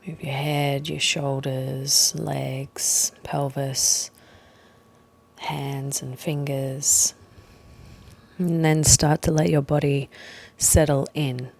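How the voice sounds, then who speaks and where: A woman leading a guided meditation, speaking gently and leaving long pauses between short phrases. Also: rumbling low, level tone, gravelly. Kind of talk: guided meditation, slow soothing instruction.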